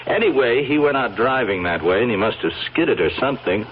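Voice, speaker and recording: An actor with an American accent playing a folksy, slyly probing police sergeant in a radio drama, asking questions in a hesitant, seemingly casual way. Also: male voice, mid-range voice, animated, fairly quick, close to an everyday voice. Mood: grave, hesitant. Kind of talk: old-time radio drama acting. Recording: dull, noisy old radio recording.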